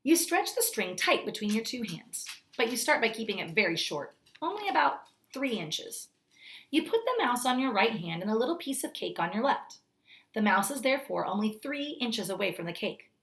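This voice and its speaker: A woman's voice: medium pitch, fairly bright tone. Woman reading a children's story aloud. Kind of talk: children's story read-aloud with brief asides.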